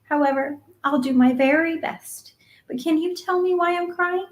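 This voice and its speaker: A woman voicing an excitable, dreamy young orphan girl in a storybook, earnest, breathless and gushing. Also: extremely high pitch, normal speed, voice pushed up. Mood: earnest, overjoyed. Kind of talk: acted audiobook dialogue.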